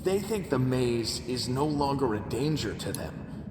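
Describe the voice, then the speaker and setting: An actor playing a determined, wry young underground tribe fighter in a video game, earnest with a streak of dry irony. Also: male voice, mid-range voice, big pitch swings, a little slow, noisy background. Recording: echoey distant hall, music behind.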